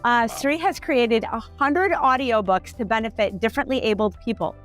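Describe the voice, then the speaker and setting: Upbeat lady host, kinda high voice, soft music in the back. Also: normal speed. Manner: chatty, upbeat. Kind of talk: casual interview.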